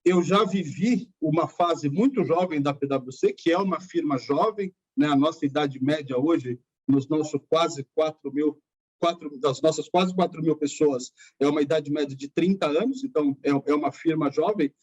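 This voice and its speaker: A man's voice: fairly high pitch, normal speed, darkish tone, slightly rough. A man in his forties speaking thoughtfully on a panel, often tagging sentences with "né". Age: 40s. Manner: thoughtful, with frequent "né" tags. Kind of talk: webinar panel discussion. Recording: muffled lo-fi audio.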